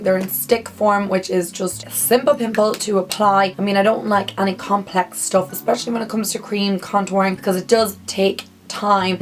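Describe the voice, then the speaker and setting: A hoarse young Irish woman talks through her favorite makeup in a relaxed vlog. Her voice is husky from strain, and her chatty delivery doubles up on words as she piles on praise. She sits in a room by a big window. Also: medium pitch. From Ireland. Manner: chatty, repeats words for emphasis. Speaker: beauty vlogger. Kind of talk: casual monthly favorites chat.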